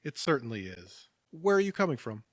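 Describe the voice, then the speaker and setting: An actor playing a mild, kindly businessman in a naturalistic audio drama, talking in a gentle, measured, slightly hesitant way. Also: mid-range voice, very animated, dry recording. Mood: gently teasing. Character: mild, settled, kindly businessman, a patient listener.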